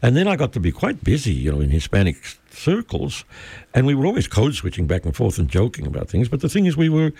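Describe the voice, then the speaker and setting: Older Mexican-accented man, guest, animated intonation, dry close sound, searching and thoughtful. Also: medium pitch, fast talker, gravelly, husky, no echo. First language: Spanish. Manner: thoughtful, searching for words, laughs often. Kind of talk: conversational interview.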